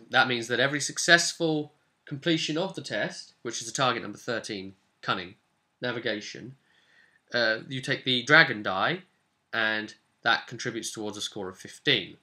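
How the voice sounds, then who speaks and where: A man speaking casually as a game master, recounting a tabletop campaign in an animated, explanatory way straight to the listener. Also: mid-range voice, moderate pace. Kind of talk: casual storytelling to camera.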